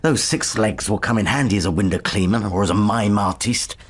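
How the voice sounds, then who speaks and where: A man's voice: fairly low pitch, fairly quick, slight background noise, natural voice. An actor in a radio drama playing a bitter, domineering father who grumbles sarcastically about money. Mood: sarcastic, irritated.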